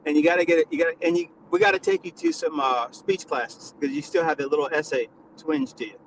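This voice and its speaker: A man's voice: a little high, sing-song, quick pace, slight background noise, poor audio. A man hosting a live stream, teasing his guest in crude, casual banter. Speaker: stream host.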